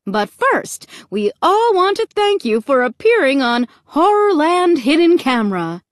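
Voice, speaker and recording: A woman voicing a cheery female monster game show MC in an audiobook, with bright, showy announcer patter. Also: sits high, very animated, a little slow, dry recording, clean studio sound, voice pushed up. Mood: gushing.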